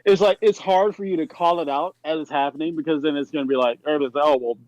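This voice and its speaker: Guy co-hosting a stream, bit higher voice, dry room, rambly casual storytelling, keeps rephrasing. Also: racing, darkish tone, dry recording, highs cut off. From New York, United States. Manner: rambling, circles back to rephrase. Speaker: live stream podcast co-host. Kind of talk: casual chat, personal storytelling.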